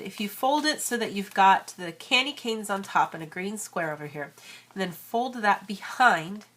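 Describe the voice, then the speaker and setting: A woman's voice: mid-range voice, a bit bright, slight background noise. A woman in her thirties with a cold, chatting casually as she walks through an origami tutorial. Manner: chatty, friendly, many fillers. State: has a cold. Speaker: origami crafter and channel host.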